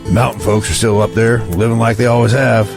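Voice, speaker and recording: Music plays behind a rugged scout played by a man in an audio drama. His voice is low with a gravelly fry, and he tells things steadily and plainly. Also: fairly even intonation, a little fast. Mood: weary, resolute.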